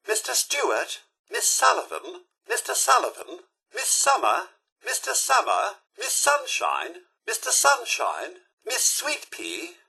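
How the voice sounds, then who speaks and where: A courteous British robot butler for a video game. His delivery is prim and formal, swinging wildly in pitch at an unhurried pace. The tone is sharp and gravelly, with every word carefully enunciated. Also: full character voice. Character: polite, prim robot butler, male-voiced, eager to serve. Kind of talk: video game voice lines, names called out formally.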